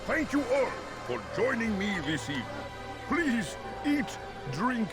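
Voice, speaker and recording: An actor playing a corrupt, grandiose merchant lord in a video game, speaking in a theatrical, oratorical manner. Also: male voice, extremely high pitch, sing-song, heavily acted. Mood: grandly gracious. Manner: oratorical, theatrical, slow dramatic pauses. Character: corrupt, grandiose, bitter merchant lord addressing his guests. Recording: loud music and crowd behind.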